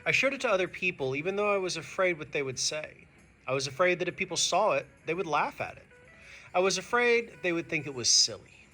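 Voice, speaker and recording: A man who is a teacher reading a picture book aloud to young students, expressive and speaking to them directly. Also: sits high, a little fast, soft background music. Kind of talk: animated children's read-aloud.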